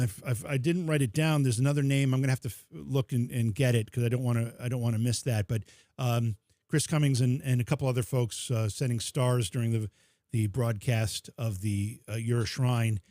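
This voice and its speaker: A man's voice: mid-range voice, fairly even intonation, normal speed, a little mellow, no echo, clean studio sound. A man co-hosting a casual podcast livestream, teasing his partner and reading out thank-yous to supporters in a chatty way. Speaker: podcast co-host.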